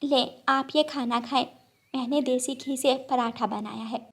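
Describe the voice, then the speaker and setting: Woman as a high-voiced, hospitable cartoon sparrow. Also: fairly even intonation, unhurried. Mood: gently explaining. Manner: polite, gently explaining. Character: kind, hospitable female village sparrow. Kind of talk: children's cartoon story acting.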